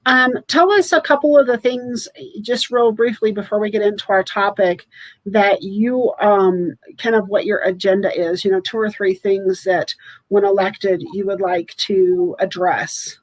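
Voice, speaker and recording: A woman's voice: mid-range voice, a little slow, no room sound, rough recording. A woman in her forties hosting a live political roundtable from home over a video call, chatty and upbeat with many fillers. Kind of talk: live webcast chat. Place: home room with shelves behind. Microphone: webcam on a video call.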